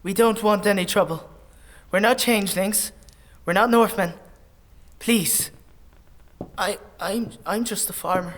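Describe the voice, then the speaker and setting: Scared earnest farm boy, pretty high voice, hesitant and pleading, fantasy radio play. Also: measured pace, long pauses, a bit bright. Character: anxious, earnest young farmer, naive and pleading. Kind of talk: audio drama acting.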